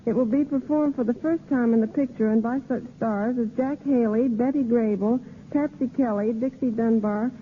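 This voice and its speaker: A young woman speaks as a scripted guest on 1930s radio. Her voice is rather high, heard through a thin old broadcast with slight hiss. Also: moderate pace, hardly any pauses. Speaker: radio guest, dance director. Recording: noisy, narrow-band 1930s radio recording.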